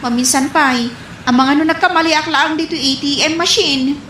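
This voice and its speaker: A woman's voice: high-pitched, steady tone, brisk, a bit bright. A woman playing a flustered, defensive wife and dutiful daughter in a radio comedy drama, with an Ilocano accent. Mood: worried. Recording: echoey, faint background noise.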